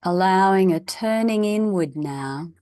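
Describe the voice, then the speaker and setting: A woman leading a guided meditation as a teacher, in a measured, instructional way with long pauses. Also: medium pitch, animated, dark tone, no echo, clean studio sound.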